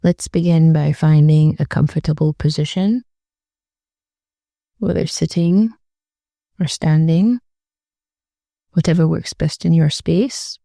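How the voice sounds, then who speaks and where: A woman with a very dark, mellow voice that sits a little low and often settles into creak. She guides a meditation gently and soothingly, with unhurried phrases and long pauses. The sound is dry and close, with no sense of room. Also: no room sound.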